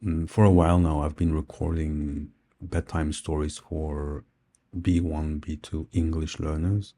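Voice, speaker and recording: Man, native Dutch speaker, low pitch, warm tone, casual solo journal, thinking aloud. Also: little pitch movement, measured pace. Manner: thinking aloud with fillers and restarts. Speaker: podcast host. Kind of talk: casual audio journal monologue.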